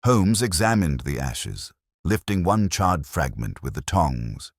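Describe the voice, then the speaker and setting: A man reading a scripted dramatic story as an audiobook narrator, in a measured, formal, literary manner. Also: deep voice, sing-song, no room sound, studio quality.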